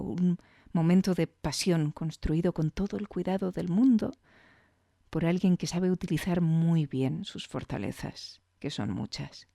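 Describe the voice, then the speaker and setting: A woman hosting a podcast, speaking intimately and deliberately in a reflective scripted monologue to the listener. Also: sits low, mellow, no echo, studio quality. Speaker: podcast host.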